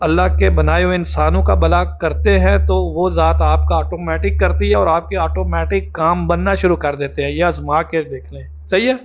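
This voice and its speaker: A man's voice: fairly high pitch, fairly even intonation, normal speed, hardly any pauses. A man hosting a casual YouTube talk in Oman, chatty and full of advice, often asking his guest to agree. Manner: chatty, advising, asks for agreement. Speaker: YouTube host. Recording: lo-fi dull recording.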